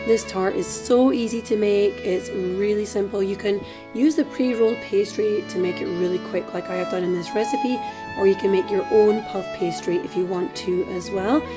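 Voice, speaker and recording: A Scottish woman hosting a cooking tutorial in a chatty, relaxed way, her voice a little hoarse from a dry throat. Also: medium pitch, moderate pace, music in the background. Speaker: cooking channel host. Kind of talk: casual cooking tutorial voice-over.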